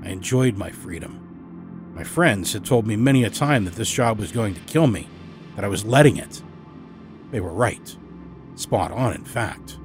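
A man narrating a first-person horror story as a storyteller, dramatic and expressive in his emphasis. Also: lowish voice, measured pace, pauses often, faint music behind. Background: musical score.

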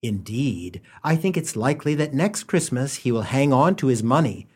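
A man's voice: medium pitch, expressive, normal speed, a little mellow, slightly rough. A man playing a wry humorist narrator in a comic radio play, telling the story with mock solemnity and dramatic emphasis. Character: wry humorist narrator gently mocking a boy's gifts.

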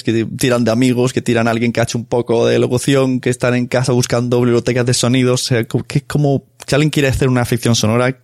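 A man's voice: mid-range voice, darkish tone, no room sound. Dry-sounding Spanish man, rambling interviewer. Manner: rambling, informal. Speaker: interviewer, podcast host. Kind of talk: casual interview chat.

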